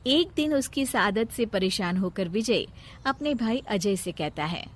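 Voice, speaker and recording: A woman's voice: medium pitch, animated, measured pace. A woman narrating a scripted moral story as the storyteller.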